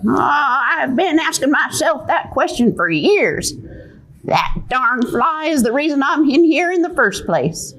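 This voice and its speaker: A folksy little old lady played by a woman in a children's puppet show. Her voice is high and lively, with a wry, resigned drawl and a teasing edge. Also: a little slow, slight background noise, heavily acted, poor audio. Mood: rueful.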